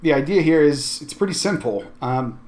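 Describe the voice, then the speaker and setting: A man giving an informal, conversational software walkthrough as a tech video host, thinking aloud as he goes. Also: a little high, animated, normal speed. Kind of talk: casual software tutorial walkthrough.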